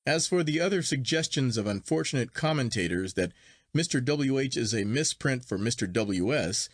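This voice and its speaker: A man reading a literary story aloud as an audiobook narrator, in a measured, formal manner. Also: mid-range voice, lively intonation, dry recording, studio quality.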